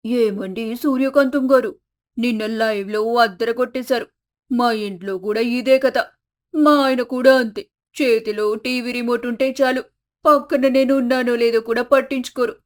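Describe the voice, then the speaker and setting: A gossipy village neighbour voiced by a woman with a high pitch, from an animated tale. She chats in a flattering, complaining way. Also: normal speed, lightly acted. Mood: admiring. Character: gossipy village neighbour women airing household grievances. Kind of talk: animated moral story acting.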